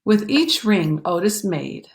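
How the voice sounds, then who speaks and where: A woman reading a children's picture book aloud in an expressive, animated way, pausing for emphasis and talking to young listeners. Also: on the low side, vocal fry. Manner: animated, explanatory, pausing for emphasis and dropping to hushed phrases. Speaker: storytime reader.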